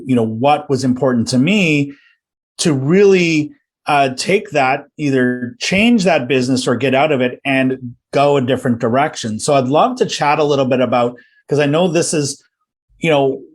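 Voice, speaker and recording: A man hosting a business coaching podcast, friendly and enthusiastic, often restarting his sentences. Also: mid-range voice. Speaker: podcast host. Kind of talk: podcast interview.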